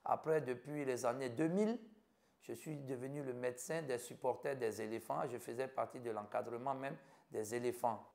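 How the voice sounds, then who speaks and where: Gravelly middle-aged Ivorian man. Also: mid-range voice, fairly even intonation, moderate pace, a little mellow, a little hiss or hum. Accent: Ivorian French. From Côte d'Ivoire. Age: 50s. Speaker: doctor interviewed about his life. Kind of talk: personal account in an interview.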